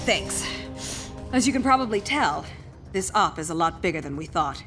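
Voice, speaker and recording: A woman playing a capable, confident superhero on a mission in a video game, brisk and businesslike. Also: fairly low pitch, expressive, loud music behind the voice, close to an everyday voice. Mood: focused. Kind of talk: video game cutscene acting.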